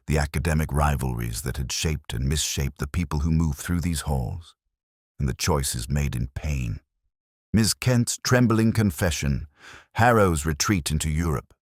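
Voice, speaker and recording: Man as a very deep, world-weary detective narrator. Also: no echo, studio quality, exaggerated voice. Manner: solemn, measured, literary, melancholy. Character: world-weary, brooding male police inspector narrating his case. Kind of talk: scripted first-person detective story narration.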